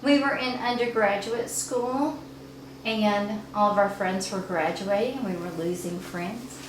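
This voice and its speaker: A middle-aged woman telling family stories in a chatty, animated way as a regular on a family channel. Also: medium pitch, a little slow. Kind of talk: casual storytime chat. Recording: echoey room with faint noise.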